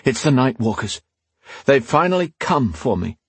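A man voicing a wounded, delirious young man, raspy and out of breath with pain. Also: medium pitch, very animated, normal speed, no room sound, voice pushed up. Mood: frantic. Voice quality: raspy, wheezing, strained.

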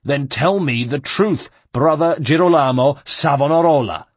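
A man voicing a brutal guard captain who taunts and threatens loudly. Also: mid-range voice, expressive, moderate pace, breathy edge, no room sound, pitched above the performer's own voice. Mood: menacing. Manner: loud and taunting. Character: brutal male guard captain, sneering bully.

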